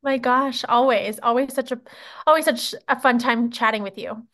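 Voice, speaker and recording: A woman's voice: a little high, fairly even intonation, normal speed, fairly bright tone. A woman hosting an interview podcast, upbeat and effusive as she welcomes and questions her guest. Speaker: podcast host.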